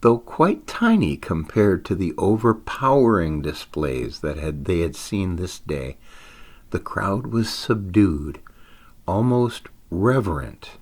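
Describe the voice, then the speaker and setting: A man reading his own story aloud in an expressive storytelling style, with deliberate, dramatic phrasing. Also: low-pitched, husky.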